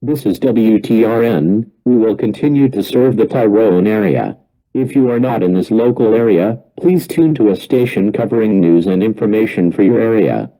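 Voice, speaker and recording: Dark-voiced man reading an emergency alert. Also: on the low side, rough recording. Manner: formal, measured, impersonal. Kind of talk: scripted emergency alert announcement.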